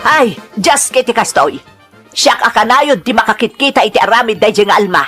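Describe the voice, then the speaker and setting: Woman playing a scolding, worried older mother, highish voice. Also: animated, normal speed, sharp tone, faint music behind. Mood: disapproving, worried. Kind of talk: Ilocano radio-style drama acting.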